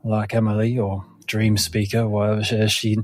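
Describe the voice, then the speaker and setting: A man's voice: fairly low pitch, monotone, normal speed, no room sound, natural voice. A man voicing a wry young deer-man with a blunt, casual way of talking. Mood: wry, guarded.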